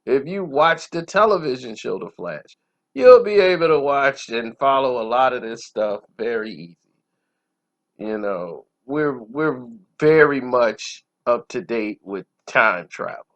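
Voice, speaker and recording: A man's voice: medium pitch, expressive, measured pace, a bit bright. A man hosting a casual movie review, talking informally and conversationally about his opinions. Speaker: movie reviewer, channel host. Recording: dull lo-fi sound.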